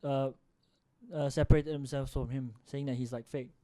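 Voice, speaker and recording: A man's voice: mid-range voice, fairly even intonation, moderate pace, warm tone. A young man with a Singaporean accent, rambling and joking as a co-host in a casual podcast chat.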